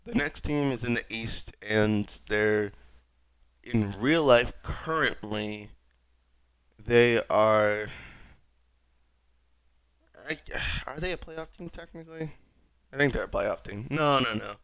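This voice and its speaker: A young man with a raspy voice, sick, rambling wryly through casual gameplay commentary as a streamer. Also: mid-range voice, slow, pauses often, fairly bright tone, no echo. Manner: rambling, wry, self-mocking. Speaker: gaming streamer.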